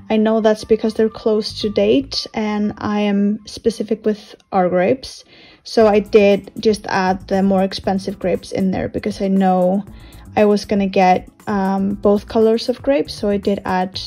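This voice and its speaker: Woman, casual vlog chat, relaxed and filler-heavy, dark mellow tone, faint background music. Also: mid-range voice. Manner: relaxed, chatty with many fillers. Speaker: vlogger.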